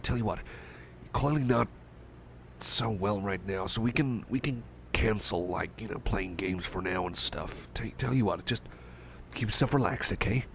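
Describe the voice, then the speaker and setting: A man's voice: lowish voice, creaky, fairly bright tone, exaggerated voice. A man playing a shy, caring cyborg friend in an ASMR roleplay, speaking hesitantly with stammers and earnest reassurance. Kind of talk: ASMR personal attention roleplay. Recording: faint background noise and ambience.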